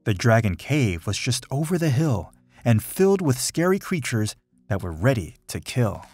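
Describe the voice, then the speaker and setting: Husky, animated man reading a storybook. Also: medium pitch, normal speed, dry recording, faint music behind. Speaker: storybook reader. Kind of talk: children's picture book read-aloud, rhyming verse.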